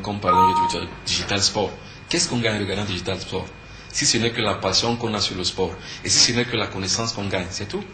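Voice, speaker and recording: A man's voice: lowish voice, pushed and bright. A man from Cameroon with a Cameroonian French accent, teaching earnestly as an interview guest, emphatic and fond of answering his own questions. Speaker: spiritual teacher and conference speaker, interview guest. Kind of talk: earnest explanatory talk in a TV interview. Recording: echoey room with faint background noise.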